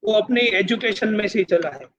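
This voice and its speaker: High-voiced Gujarati man lecturing, lo-fi sound. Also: animated, fairly quick, fairly bright tone. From Gujarat, India. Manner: emphatic, repeats phrases in parallel, mixes in English terms. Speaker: university vice-chancellor, speaker. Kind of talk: public lecture, explanatory and persuasive.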